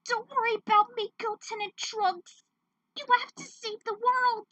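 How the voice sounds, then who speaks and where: Young heroine, played by a female reader: very high, piercing, dramatic, selfless, desperate, anime fan story. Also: steady tone, a little slow, sharp tone, distant mic, exaggerated voice, rough recording. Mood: desperate pleading. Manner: dramatic exclamations.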